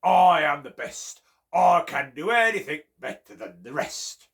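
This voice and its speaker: A big blustering bear from a children's storybook, bragging slowly and loudly about being the best, as a man puts him on. He speaks in a lively voice with lots of swing in it. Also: gravelly, exaggerated voice. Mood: boastful. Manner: slow, loud, booming boasts. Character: boastful big male bear, proud and blustering.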